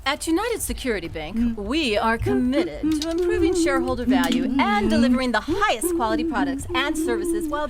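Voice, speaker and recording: A woman reading a scripted radio commercial in a smooth, formal manner during a live show. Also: high-pitched. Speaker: ad announcer.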